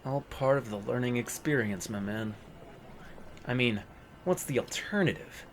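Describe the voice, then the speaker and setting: An actor playing a slick, talkative, cocky young yakuza in a video game fan dub, over guitar music. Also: male voice, medium pitch, normal speed, slight background noise, close to an everyday voice. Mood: chummy, boastful. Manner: cocky, chatty, casual.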